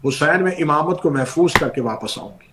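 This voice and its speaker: A man's voice: fairly high pitch, expressive, normal speed, background noise, voice pushed up, natural voice. A man preaching who voices a grieving noble woman, speaking tearfully and pleading. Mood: resolute.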